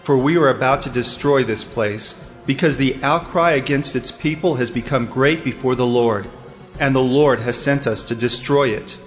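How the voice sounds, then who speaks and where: A man's voice: mid-range voice, moderate pace, natural voice. A man playing a stern angel, grave and commanding, in a dramatized scripture reading. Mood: grave, urgent. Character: stern, commanding male angel sent to destroy a city. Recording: long reverberant echo, music behind.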